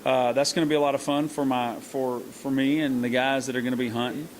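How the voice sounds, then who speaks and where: A middle-aged man, a country singer who hosts a hunting show, answering interview questions in an earnest, halting way with many restarts. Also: medium pitch, steady tone, poor audio.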